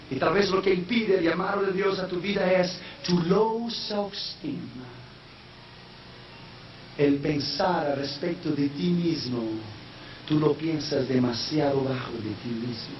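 A man preaching a sermon in a language that is not his first, slipping in English phrases and rising into shouted, sung-out peaks. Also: high-pitched, a little slow. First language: not Spanish. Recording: echoey, distant, dull sound with faint noise.

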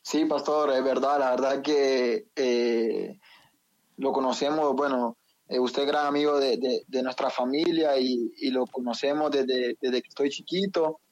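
Young honduran guy on a radio interview, lots of ums and restarts, normal pitch, dry sound. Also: fairly even intonation, unhurried, a little mellow, no room sound, lo-fi sound. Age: young adult. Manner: hesitant, many fillers and repeated words. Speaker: guest, professional footballer.

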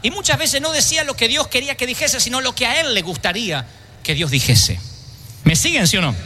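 A man delivers a sermon to a church congregation, pressing his points and throwing questions at the listeners. His voice sits high for a man and rings very bright. Faint noise runs under the recording. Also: expressive, a little fast. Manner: emphatic preaching with rhetorical questions to the congregation. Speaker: preacher. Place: church service.

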